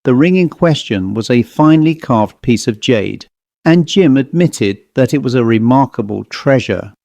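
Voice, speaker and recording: A man narrating a scripted story as an audiobook reader, in a measured, deliberate manner. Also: medium pitch, animated, vocal fry, a little mellow, dry recording.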